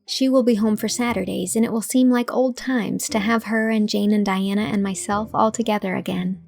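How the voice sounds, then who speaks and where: A woman voicing an earnest, impulsive young schoolteacher in audiobook dialogue, eager and high-minded. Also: fairly even intonation, normal speed, vocal fry, a little mellow, faint music behind, natural voice. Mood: fond.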